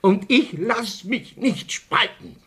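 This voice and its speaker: An actor playing a pompous, indignant older club official who blusters officiously in a radio drama. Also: male voice, high voice, slow pace, bright, forceful tone, full character voice. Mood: wounded, stubborn. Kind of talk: theatrical radio drama acting.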